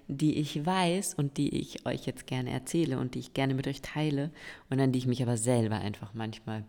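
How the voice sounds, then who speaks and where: A woman of around forty, hoarse and stuffy-nosed, hosting a solo podcast and encouraging her listeners directly. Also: a bass voice, moderate pace, warm tone. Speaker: podcast host. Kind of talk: solo podcast monologue giving tips. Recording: long reverb with faint music.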